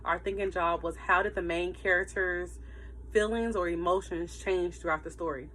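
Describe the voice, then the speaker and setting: A woman teacher reading a story aloud to children in an animated, emphatic way, with asides to her listeners. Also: on the low side, moderate pace, slight background noise, poor audio.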